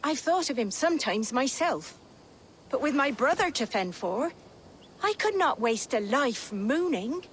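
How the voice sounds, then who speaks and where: A woman's voice: sits high, very animated, unhurried, a little hiss or hum. A woman playing a defiant, plain-spoken, middle-aged countrywoman in a period game drama, with earthy archaic phrasing. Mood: wistful, moved. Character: defiant, plain-spoken poor countrywoman, protective older sister.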